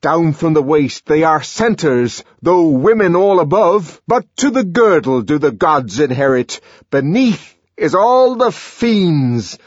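A man voicing a savage, raging young man in an audiobook, declaiming archaic words theatrically and forcefully. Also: fairly high pitch, sing-song, takes it slowly, few pauses, husky, no room sound, muffled recording, pitched above the performer's own voice, exaggerated voice. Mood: maddened declaiming.

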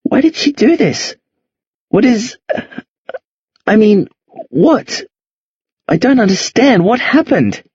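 A man voicing a frightened, anxious teenage boy in a husky, shaky voice. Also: sits high, sing-song, takes it slowly, pauses often, darkish tone, no echo, muffled recording, pitched above the performer's own voice, full character voice. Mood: panicked.

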